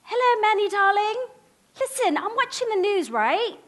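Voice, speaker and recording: A woman putting on her gushing, high-pitched cousin. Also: normal speed. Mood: excited, gushing. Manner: breathless, excited, affectionate, higher-pitched and louder. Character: gushing, chatty woman cousin calling from England.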